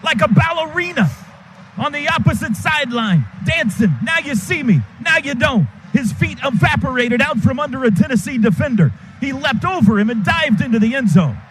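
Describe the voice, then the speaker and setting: A man doing excited radio play-by-play of a football game in clipped, rapid-fire calls. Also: extremely high pitch. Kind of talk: live football commentary. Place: stadium radio booth. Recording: noisy stadium broadcast booth.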